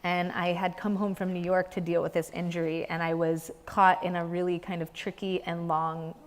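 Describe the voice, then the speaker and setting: A woman's voice: fairly low pitch, level tone, normal speed. A young adult woman, a dance company director, speaking earnestly in an interview and searching for her words. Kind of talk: reflective interview talk. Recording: reverberant hall.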